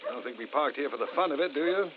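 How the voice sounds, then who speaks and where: An actor playing a wary, sensible husband with a firm, dry manner in a 1940s radio thriller. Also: male voice, fairly high pitch, animated, very fast, close to an everyday voice. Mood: irritated. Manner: firm, dry, sarcastic when pressed. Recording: muffled, very noisy vintage radio recording.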